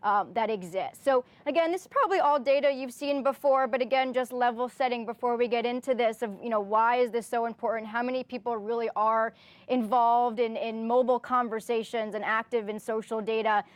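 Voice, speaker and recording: A woman's voice: fairly high pitch, steady tone, brisk, no room sound. A woman giving an informal conference presentation in a conversational way, often checking in with the listeners with a "right?".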